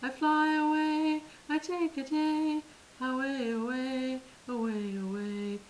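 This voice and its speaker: A woman voicing a happy plane that sings as it soars through the sky, in a drawn-out, sing-song way. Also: high voice, fairly even intonation, slight background noise. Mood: dreamy singing.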